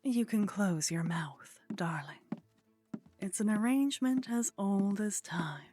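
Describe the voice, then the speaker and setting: A woman playing a teasing, condescending female vampire in a soft ASMR roleplay, languid and haughty, often slipping into whispers. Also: mid-range voice, animated, slow, soft background music. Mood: sly, condescending. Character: teasing, condescending female vampire, sly, centuries-worldly contact.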